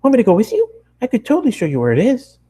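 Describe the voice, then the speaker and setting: A man voicing a bubbly, chattering, excitable young female cartoon pony who works as a baker. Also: very high voice, breathy edge, voice pushed up, exaggerated voice. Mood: eager. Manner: rapid-fire, gushing, exclamatory chatter.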